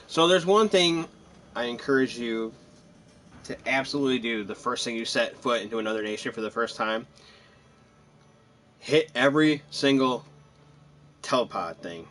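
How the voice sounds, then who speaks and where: A man's voice: mid-range voice, animated, moderate pace, pauses often, a bit bright. A man giving casual gameplay commentary, rambling and joking as he thinks aloud. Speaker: gamer commenting on a playthrough.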